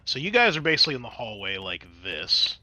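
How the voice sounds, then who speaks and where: A man's voice: medium pitch, big pitch swings, fairly quick, sharp tone, faint music behind. A man running a tabletop roleplaying game as game master, switching between conversational rules talk and dramatic scene description.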